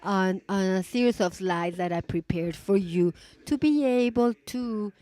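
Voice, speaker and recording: Woman interpreter at a business conference, mid pitch, stops and rephrases a lot. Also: mellow. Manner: halting, rephrasing as she goes. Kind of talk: simultaneous interpretation of a business talk.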